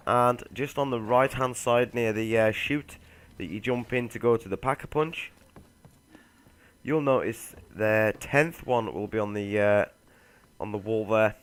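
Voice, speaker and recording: A man's voice: fairly low pitch, unhurried, smooth. A man hosting a gaming video, giving a laid-back walkthrough voice-over and speaking straight to his viewers. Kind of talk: casual game walkthrough voice-over.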